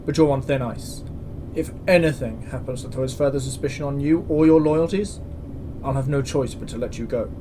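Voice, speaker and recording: Over clear background noise, a male actor plays a space station commandant in a sci-fi audio drama. He is stern and exasperated, speaking in a mid-pitched male voice with curt authority. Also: moderate pace, natural voice. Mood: stern warning. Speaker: military commandant. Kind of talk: science-fiction audio drama acting.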